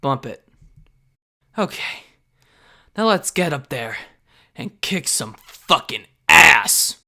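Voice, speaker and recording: A man voicing a battered, foul-mouthed teenage boy superhero in a comic book dub, excitable and dramatic. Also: on the high side, takes it slowly, vocal fry, heavily acted. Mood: grim resolve.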